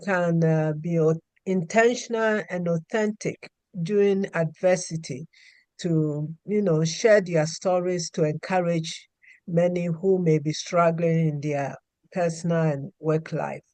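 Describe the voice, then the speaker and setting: A woman's voice: on the low side, steady tone, a little slow, mellow, dry recording. A woman, a healthcare practitioner, introducing herself earnestly on a video call. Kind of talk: self-introduction on a group call.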